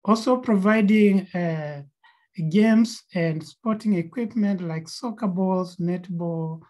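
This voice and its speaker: A woman's voice: lowish voice, slow pace, warm tone. A woman with a Kenyan accent, co-founder of a nonprofit, presenting slides on a video call with frequent fillers and repeated words.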